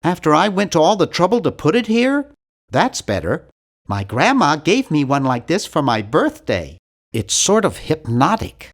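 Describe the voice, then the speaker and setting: A man's voice: a little high, wildly swooping pitch, normal speed, exaggerated voice. A man voicing an earnest, timid young male nerd in an adventure video game, with a precise, fussy delivery. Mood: fussy, deadpan. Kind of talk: animated adventure game voice acting.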